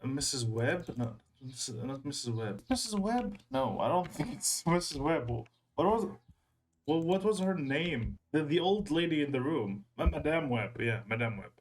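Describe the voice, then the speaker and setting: A man hosting a reaction video with casual, off-the-cuff commentary, talking in a rambling, halting way and repeating himself. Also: on the high side, expressive.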